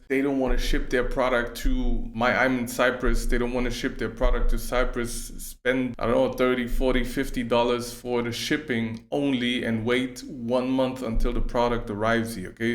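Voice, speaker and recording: A man's voice: mid-range voice, reverberant. A young man who is not a native English speaker gives casual, direct advice to camera, tagging "okay?" onto his points again and again. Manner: direct, repeats "okay?" often. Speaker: UGC creator. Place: room on a small island.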